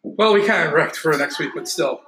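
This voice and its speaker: A man's voice: a little high, brisk, creaky. A middle-aged man hosting a casual interview, asking rambling questions with fillers. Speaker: host. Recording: echoey room, faint noise.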